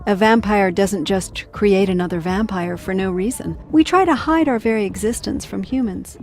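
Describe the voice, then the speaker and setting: A poised female vampire mentor from a video game, acted by a woman. Her mid-pitched voice is measured, knowing and self-assured, with slightly formal phrasing. Faint music plays underneath. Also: darkish tone, natural voice. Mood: composed, instructive. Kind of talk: video game cutscene acting.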